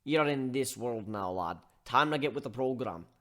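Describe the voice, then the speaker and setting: A man voicing a gruff, scolding barkeep who gives blunt tough-love lectures in folksy slang in a dramatic story reading. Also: mid-range voice, lively intonation, normal speed, a bit bright, natural voice. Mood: firm, advising.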